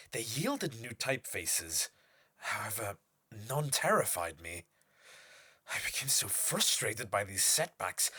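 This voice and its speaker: An actor playing a pompous, obsessive typeface designer, delivering a formal, theatrical monologue for an audio horror drama. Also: male voice, fairly low pitch, a bit bright, heavily acted. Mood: confiding, intense.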